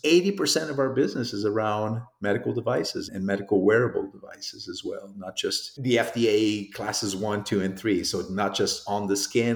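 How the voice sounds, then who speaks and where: A man's voice: fairly low pitch, echoey room. A middle-aged man from Portugal, a sales executive being interviewed, talking in a reflective, rambling way. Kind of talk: conversational interview.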